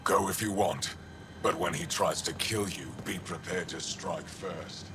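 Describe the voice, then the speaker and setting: An actor playing a gruff, distrustful male alien resistance commander in a video game, curt and stern. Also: low-pitched, unhurried, noisy background. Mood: hostile, stern. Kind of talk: video game dialogue acting.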